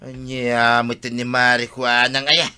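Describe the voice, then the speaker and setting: Actor as argumentative village man, Ilocano accent, extremely bright forceful tone, middle pitch, comedy drama. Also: monotone, unhurried, clear, resonant tone. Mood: protesting.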